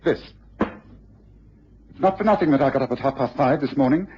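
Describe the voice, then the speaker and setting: An English actor playing a self-assured, courteous, authoritative detective in a vintage radio drama. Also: male voice, mid-range voice, moderate pace, natural voice. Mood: brisk, confident. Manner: crisp, polite, authoritative. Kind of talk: 1950s BBC radio drama acting. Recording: dull, noisy vintage radio recording.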